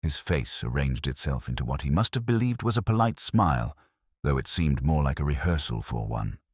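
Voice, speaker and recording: Male narrator, mystery story, measured, wry, very deep, dry close sound. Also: animated, breathy edge, no echo.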